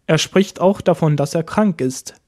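A man teaching a language lesson, explaining each point slowly step by step. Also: on the high side, darkish tone. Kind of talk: lesson narration.

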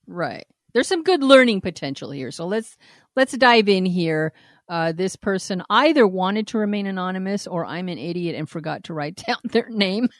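A woman's voice: lowish voice, lively intonation, moderate pace, no room sound, studio quality. A woman co-hosting a podcast, reading a listener's email aloud and giving blunt, frank advice with frequent laughter and swearing. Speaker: podcast co-host.

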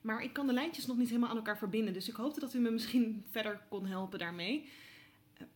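A woman's voice: fairly high pitch, fast talker, close to an everyday voice. An actress playing an eager young woman reporter in an audio drama, hesitant and polite but persistently probing. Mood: pleading, persistent. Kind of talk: naturalistic audio drama dialogue.

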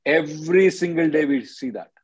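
A man's voice: on the high side, lively intonation, normal speed, husky, no room sound. A man hosting a call-in as an attorney, advising callers in a blunt, directive way and often checking with "okay?".

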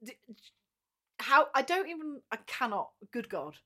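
A woman's voice: sits high, big pitch swings, a little slow, a bit bright. A woman co-hosting a casual podcast at home, teasing and incredulous, feeling cold, close to a shared tabletop mic in a small room. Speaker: podcast co-host. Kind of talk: casual banter. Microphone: shared tabletop microphone.

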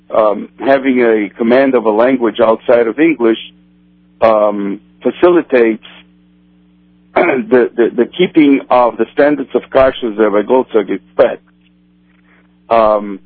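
A man from Brazil whose first language is Portuguese, a kosher supervisor answering interview questions in a halting way with many restarts. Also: medium pitch, no echo. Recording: tinny phone line, dry.

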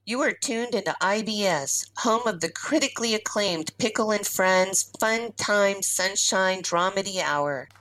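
A woman's voice: medium pitch, a little slow, few pauses, fairly bright tone, lightly acted, low-quality recording. A woman playing a polished radio station announcer giving an ident in a radio play. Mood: upbeat, promotional. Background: music.

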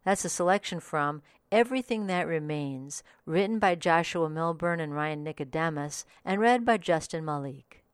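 Low-pitched woman, dry studio sound. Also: moderate pace, few pauses, clear, resonant tone, no echo. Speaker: podcast host and audiobook reviewer. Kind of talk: scripted review narration.

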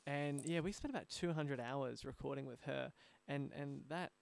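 A man hosting a conversational interview, speaking in a halting way and repeating words as he goes. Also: medium pitch, creaky, no echo. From Australia. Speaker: podcast host, audio drama producer.

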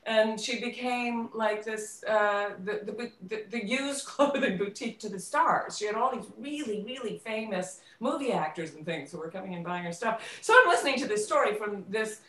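Woman, interview guest, casual conversational delivery, halting word searches, strong room echo, distant mic. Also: medium pitch. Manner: animated storytelling, quoting dialogue, halting when searching for words. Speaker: interview guest, theater company co-director. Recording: roomy, echoey, distant microphone.

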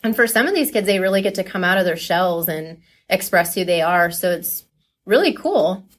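Earnest woman with a lowish voice. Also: expressive, moderate pace. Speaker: teacher, forensics team coach. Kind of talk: casual interview answers.

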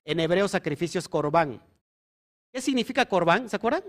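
Preacher, male, high-pitched, emphatic teaching style, keeps checking in with his audience. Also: lively intonation, a little fast, fairly bright tone. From Mexico. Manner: emphatic, asks the audience questions. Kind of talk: sermon teaching.

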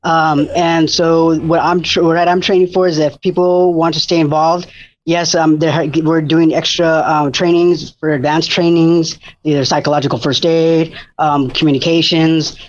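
An enthusiastic man talks in a rambling way, full of fillers and restarts. His voice sits a little high, and the recording sounds dull and muffled. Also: steady tone, moderate pace. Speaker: food bank disaster preparedness coordinator. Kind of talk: casual radio interview.

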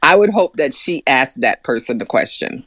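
A woman's voice: very deep voice, expressive, normal speed, over a noisy crowd. A woman in casual podcast chat, easygoing and often stumbling over her words.

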